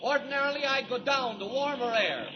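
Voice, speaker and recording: A man's voice: extremely high pitch, a little fast, music in the background. A man playing a tense, harried airplane pilot in a 1940s radio drama, on a muffled, noisy recording. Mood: alarmed, tense.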